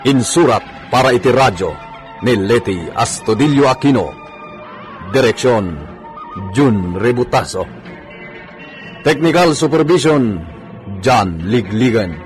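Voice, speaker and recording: A man announcing a scripted radio drama with a theatrical, drawn-out delivery. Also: medium pitch. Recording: music underneath. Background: music.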